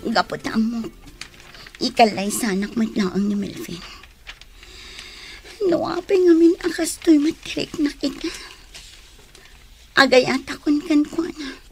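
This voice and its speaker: A woman's voice: sits high, unhurried, long pauses, darkish tone, a little hiss or hum. A woman with a Filipino accent acting a devoted young woman wounded in love in a radio drama. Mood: wistful.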